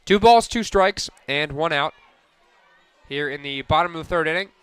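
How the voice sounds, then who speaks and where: A man calling softball play-by-play for radio, narrating each pitch and play in crisp descriptive detail. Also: normal speed, no room sound. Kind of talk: live softball radio commentary.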